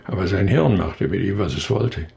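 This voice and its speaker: An actor playing an injured, grieving male professor in a radio crime drama, talking haltingly but insistently in a weakened voice. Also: low voice, gravelly, darkish tone. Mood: stubborn, lecturing. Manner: halting, hesitant, yet insistent. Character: injured male brain research professor, bereaved, weary, stubbornly convinced.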